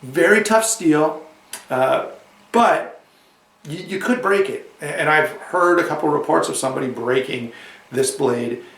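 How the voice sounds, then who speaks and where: A man with a cold and a slightly hoarse voice, casually reviewing a knife to camera, rambling with restarts and asides. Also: fairly high pitch, unhurried, fairly bright tone, roomy sound, recorded from a distance.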